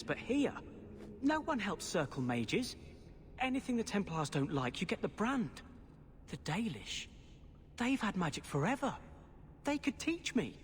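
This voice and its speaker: A man's voice: sits high, long reverb tail, a little hiss or hum. A man playing an earnest young half-elf mage in video game dialogue.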